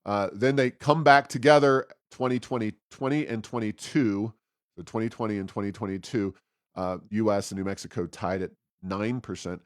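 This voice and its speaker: A man co-hosting a talk show, giving conversational political commentary with wry, sarcastic asides and a halting, self-correcting delivery. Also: on the low side, dry recording, studio quality. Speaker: co-host of a policy podcast.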